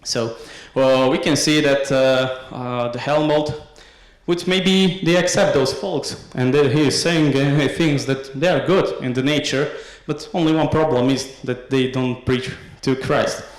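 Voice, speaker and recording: A young man with a Slovak accent giving an academic conference talk, hesitant, with many filled pauses and restarts. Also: mid-range voice. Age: young adult. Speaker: conference presenter. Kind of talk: academic lecture. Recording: echoey room.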